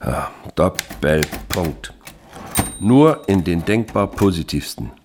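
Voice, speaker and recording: A man's voice: low voice, animated, takes it slowly. A man playing a grumpy, awkward middle-aged father in a radio drama, stiffly dictating a pompous letter to himself as he types. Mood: stilted, earnest. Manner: stilted dictation aloud, muttering punctuation and curses. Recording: noisy room with typewriter clatter. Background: typewriter typing.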